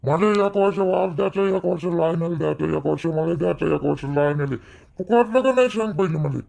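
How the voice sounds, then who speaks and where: A man's voice: fairly high pitch, fairly quick, creaky, a little mellow. A man voicing a disgruntled middle-aged farmer in a village cartoon, argumentative and repetitive, with a Vidarbha Marathi accent. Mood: demanding, aggrieved. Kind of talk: rural family cartoon dialogue.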